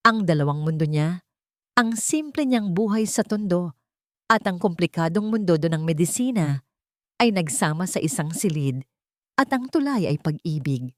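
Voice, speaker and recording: Low-voiced woman narrating a sentimental drama. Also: darkish tone, no room sound, clean studio sound. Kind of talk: scripted audio drama narration in Tagalog.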